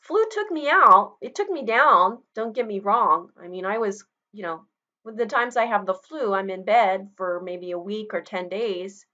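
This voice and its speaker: Middle-aged woman teacher, relaxed explanatory lecture voice, slightly muffled webcam sound. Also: mid-range voice, normal speed. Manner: conversational, explanatory, personal asides. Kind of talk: recorded online lecture. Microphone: webcam video recording.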